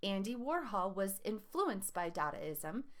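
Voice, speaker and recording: A woman teacher reads scripted educational material aloud, setting out terms and examples in a deliberate, enunciated way. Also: medium pitch, big pitch swings. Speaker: teacher reading lesson aloud.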